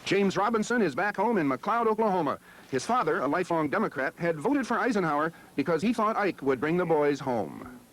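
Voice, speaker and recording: A man's voice: high voice, very animated, a little fast, noisy background. An American man reading scripted narration for a broadcast history documentary.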